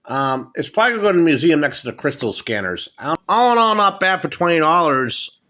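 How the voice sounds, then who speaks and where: A middle-aged American man talking casually and off the cuff in a halting, matter-of-fact way, showing items at a table indoors on a handheld phone. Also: a little high, sing-song, bright, forceful tone. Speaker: hobbyist presenter. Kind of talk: casual off-the-cuff product show-and-tell. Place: indoors at a wooden table in a home. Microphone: handheld phone, speaker behind camera.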